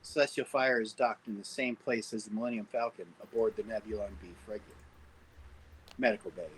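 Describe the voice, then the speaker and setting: A man's voice: on the low side, fairly even intonation, brisk, slight background noise, rough recording. A man reading stage directions as narrator at an amateur table read over an online call. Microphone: group online call.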